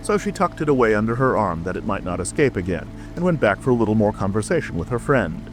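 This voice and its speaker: Expressive low-voiced male storybook narrator. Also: quick pace. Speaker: audiobook narrator. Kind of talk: dramatic scripted storybook narration. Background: music.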